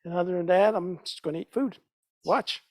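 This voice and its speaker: An older man with a fairly high, light voice chats to viewers about his nutrition classes. He talks in a loose, relaxed way with fillers. The sound is dry and close, from a home kitchen. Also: brisk, no room sound. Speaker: nutrition educator. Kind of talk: casual announcement to viewers.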